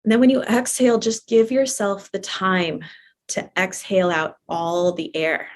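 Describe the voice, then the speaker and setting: A woman teaching a guided relaxation class in a gentle, permissive, conversational way, often repeating her phrases softly. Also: fairly low pitch, a little hiss or hum. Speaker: yoga nidra teacher guiding a live class.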